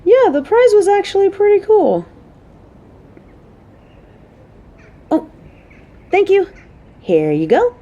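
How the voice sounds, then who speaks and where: Woman acting a playful, motherly coworker with mellow voice. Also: very high-pitched, wildly swooping pitch, unhurried, muffled recording, exaggerated voice. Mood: pleased, affectionate.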